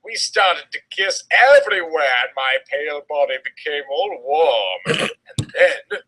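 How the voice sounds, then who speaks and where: A man's voice: on the high side, expressive, measured pace, sharp tone, low-quality recording. A man giving a comic dramatic reading of fan fiction in a theatrical, exaggerated manner.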